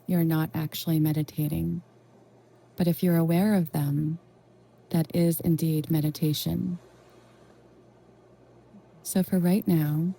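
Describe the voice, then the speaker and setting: A woman leading a guided meditation in a gentle, reassuring, unhurried way with long pauses. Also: sits low. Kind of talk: soothing guided meditation narration.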